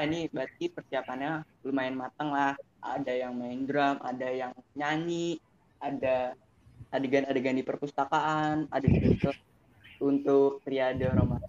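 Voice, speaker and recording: A young interviewer with an Indonesian accent chatting casually as host, on a muffled, lo-fi recording. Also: mid-range voice. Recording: muffled lo-fi audio with faint noise.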